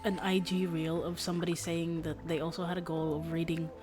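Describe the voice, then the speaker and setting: A woman's voice: lowish voice, level tone, a little fast. A woman streamer chatting casually and ramblingly while a game plays. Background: game music.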